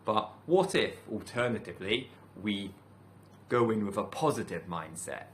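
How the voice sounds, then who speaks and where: A man from the UK, a teacher, giving a calm, thoughtful talk to camera in a measured way with many pauses. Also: on the low side, a little hiss or hum. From United Kingdom. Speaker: teacher and channel host. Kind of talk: reflective solo talk to camera.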